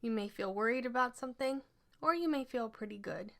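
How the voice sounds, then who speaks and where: A woman leading a scripted guided relaxation exercise as an instructor, speaking in measured, instructional phrases. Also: fairly high pitch, vocal fry, a bit bright.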